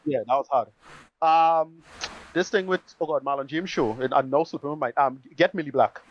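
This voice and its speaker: A man chatting casually as a podcast panelist, haltingly, often repeating his words and phrases. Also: on the high side, lo-fi sound, slight background noise.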